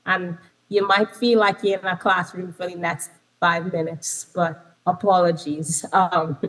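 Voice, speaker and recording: A woman's voice: on the low side, level tone, a little slow, a bit bright, low-quality recording. A woman from Trinidad with a Trinidadian accent, giving an interactive conference talk as a lecturer over a video call, chatty with frequent ums and self-corrections. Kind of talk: interactive remote presentation.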